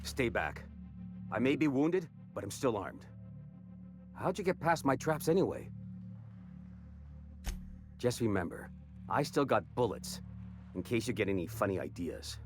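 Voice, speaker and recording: An actor playing a wounded, wary smuggler in a video game, with a folksy drawl and short breath. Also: male voice, medium pitch, big pitch swings, a little slow, pauses often, over music. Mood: wary, threatening. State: winded from a wound. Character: wounded, wary, gritty frontier smuggler man.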